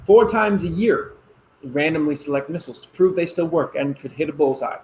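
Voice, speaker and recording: A man's voice: fairly high pitch, brisk, darkish tone, slight background noise, lightly acted, rough recording. A man playing an eager, placating adult son who is a military officer, in naturalistic play dialogue. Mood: eager, proud.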